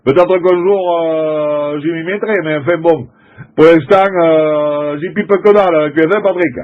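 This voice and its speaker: A middle-aged French man, a CB radio operator, chatting casually in a halting, colloquial way and repeating his phrases. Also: fairly high pitch, steady tone, narrow, tinny audio. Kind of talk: casual CB radio contact chat.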